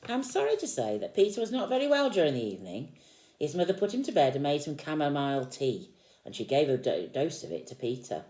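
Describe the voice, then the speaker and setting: A woman reads a picture book aloud to young children like a teacher, expressively, with gently explained asides. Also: sits low, very animated, brisk, reverberant. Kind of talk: animated storybook read-aloud with explanatory asides.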